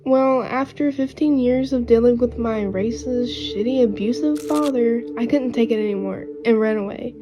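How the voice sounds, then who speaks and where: A woman voicing an earnest, wounded runaway girl with strange powers in an amateur animated series. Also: fairly high pitch, moderate pace, hardly any pauses, close to an everyday voice. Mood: earnest, resolute. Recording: dull lo-fi mic under faint music.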